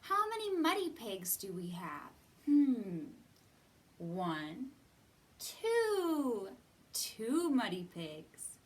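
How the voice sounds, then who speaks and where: A woman hosting a children's storytime, reading and counting in an expressive, sing-song way with drawn-out words. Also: on the high side. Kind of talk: expressive read-aloud and counting for young children. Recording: somewhat distant from the mic.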